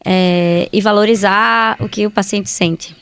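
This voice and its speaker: A woman's voice: mid-range voice, steady tone, unhurried, a bit bright. A woman with a Brazilian accent, a medical geneticist giving conversational answers as an interview guest.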